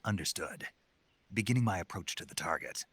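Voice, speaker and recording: An American man playing a gruff, stoic special forces agent in a video game, terse and deadpan with a rough voice. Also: sits low, fairly quick, heavily acted. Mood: terse, guarded. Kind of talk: dramatic video game acting.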